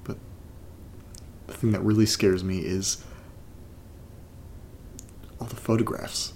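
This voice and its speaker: A man playing an uneasy, reluctant character in a horror audio drama, speaking haltingly in a naturalistic monologue, as if muttering to himself. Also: fairly low pitch, flat delivery, creaky, darkish tone, slight background noise. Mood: uneasy, subdued. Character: uneasy, reluctant man exploring a creepy place and reading strange letters. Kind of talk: naturalistic found-recording audio drama monologue, partly reading a letter aloud.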